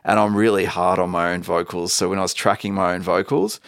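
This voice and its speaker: A man's voice: low voice, little pitch movement, fairly quick, smooth, dry recording, studio quality. A man with a big, thick voice, talking casually and emphatically as a musician being interviewed. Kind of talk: casual chat.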